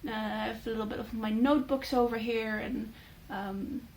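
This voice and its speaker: A young Dutch woman, a native Dutch speaker, chatting casually in a vlog with many fillers and thoughtful pauses. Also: on the high side, steady tone, a little mellow. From Netherlands. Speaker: book channel host. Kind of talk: casual talking-head vlog.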